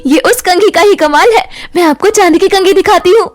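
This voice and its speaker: Casting: woman playing small, earnest schoolgirl for animated fairytale, very high sweet voice, music underneath. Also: a little fast. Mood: happy, eager. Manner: earnest, wide-eyed, pleading. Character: timid, sweet young schoolgirl, wide-eyed and earnest.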